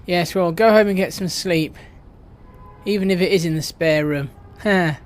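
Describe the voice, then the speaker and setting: Man playing male senior agent, casual, dry humour, higher pitch, radio drama. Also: normal speed, soft background music, lightly acted. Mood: casual, wry.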